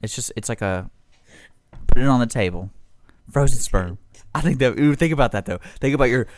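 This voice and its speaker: A man's voice: mid-range voice, animated, brisk. An American man co-hosting a radio show in casual, teasing, joking conversation. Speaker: radio co-host. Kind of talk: casual chat.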